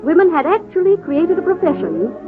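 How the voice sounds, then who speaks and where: A woman's voice: extremely high pitch, big pitch swings, a little fast. A woman narrator delivering dramatic scripted narration in 1940s radio style. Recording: tinny old radio recording, music behind.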